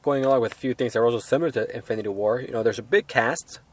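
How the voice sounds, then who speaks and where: A man reviewing a film in a casual, unscripted way, halting and rambling as he hedges his points. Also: medium pitch.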